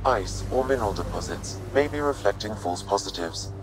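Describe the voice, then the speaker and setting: A man voicing a calm, very deep AI guide. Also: background noise, natural voice. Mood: neutral. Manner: measured, factual, report-like. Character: calm artificial intelligence guide, neutral and precise. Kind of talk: video game dialogue.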